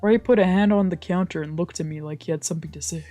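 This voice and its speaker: A woman's voice: on the low side, fast talker, dark tone, dry recording, faint music behind. A woman reading scripted first-person visual novel narration aloud.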